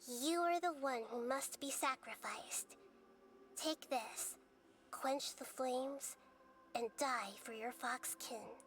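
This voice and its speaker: A woman voicing a gentle, solemn young female game character who speaks in a measured, earnest, soft way. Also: high voice, slight background noise, heavily acted. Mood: solemn, tender. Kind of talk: scripted game storytelling and dialogue.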